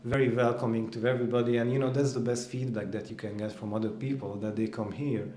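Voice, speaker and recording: A man's voice: medium pitch, fairly even intonation, fast, mellow, reverberant. A man from Hungary, a band member, answering interview questions in an earnest way, often searching for words.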